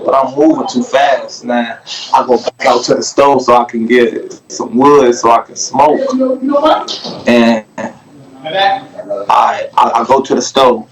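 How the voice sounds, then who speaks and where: Man, podcast guest, casual rambling storyteller, profane, mid-pitched voice, crowd chatter behind. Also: low-quality recording. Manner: profane, rambling, keeps saying "you feel me".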